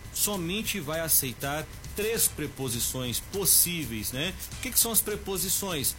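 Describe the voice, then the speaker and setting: A Brazilian man teaching Portuguese on the radio, addressing the listener directly and slowing down to stress his examples. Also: fairly high pitch. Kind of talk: radio grammar tip segment. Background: music bed.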